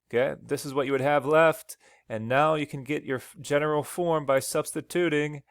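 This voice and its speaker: A man's voice: on the high side, clear, resonant tone, dry recording, studio quality. A man teaching math over lecture slides, walking through each step and checking in with "okay?" as he goes, his voice a little worn. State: slightly losing his voice.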